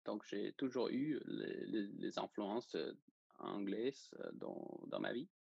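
A young man with a Danish accent, speaking casually and haltingly as he searches for words. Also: lowish voice, huge pitch swings, gravelly, darkish tone, breathy edge, no room sound, dull audio. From Denmark. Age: young adult. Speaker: interview guest, journalism student. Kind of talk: casual interview.

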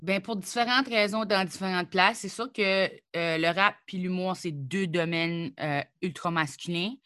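A young woman from New Brunswick with an Acadian French accent, chatting casually as an interview guest, animated and full of quick asides. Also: lowish voice, dry recording, clean studio sound. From New Brunswick, Canada. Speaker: comedian and rapper, guest.

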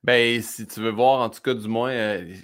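A man's voice: medium pitch, fairly even intonation, a bit bright. A man hosting a casual interview, chatty and fond of acting out quoted lines. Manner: chatty, quick asides, acts out quoted lines. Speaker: host.

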